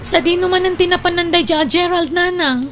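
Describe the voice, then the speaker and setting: A woman's voice: very high voice, fairly quick, a bit bright, faint music behind. A woman with an Ilocano accent playing an exasperated, scolding older sister in an audio drama. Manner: nagging, reproachful.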